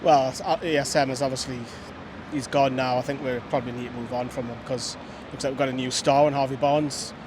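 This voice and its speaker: A man giving measured, opinionated football views outdoors. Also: medium pitch, steady tone. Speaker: fan pundit. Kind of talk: casual football chat. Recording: outdoor street noise.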